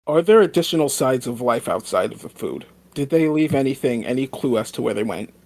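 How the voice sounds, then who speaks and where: A man's voice: mid-range voice, expressive, a little fast, darkish tone, no room sound. A man taking part in a tabletop role-playing game as a player, speaking in casual banter.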